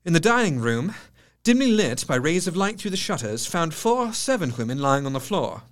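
A solemn, higher-voiced Victorian doctor narrating. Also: male voice, very animated. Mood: grave, horrified. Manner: measured, solemn, literary. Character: grave, shaken Victorian male doctor recounting his diary. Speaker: actor narrating in character. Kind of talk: dramatic scripted first-person narration.

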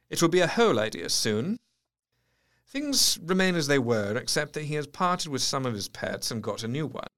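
A man playing an educated asylum doctor who dictates a clinical diary in a measured, precise way. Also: medium pitch, a bit bright. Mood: thoughtful. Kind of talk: dramatised diary narration.

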